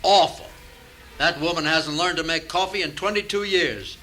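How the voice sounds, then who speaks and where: A man's voice: on the high side, normal speed, pushed and bright. A man playing a distracted, rambling middle-aged police chief in a comic radio play. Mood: flustered, grumbling. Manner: rambling, absent-minded, dramatic. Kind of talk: comic radio theater acting. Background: music.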